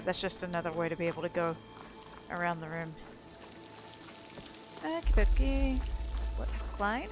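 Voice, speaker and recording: Casual woman gamer commentating over music. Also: medium pitch. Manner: informal, talking to viewers while playing. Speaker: gameplay commentator. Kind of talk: casual video game commentary. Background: game music and sound effects.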